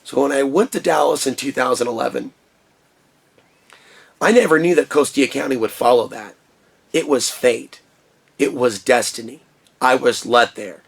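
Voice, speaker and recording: A man with a booming voice talking through a personal vlog monologue in an impassioned, ranting way from his shed studio. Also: normal speed, vocal fry, pauses often, husky. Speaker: vlogger.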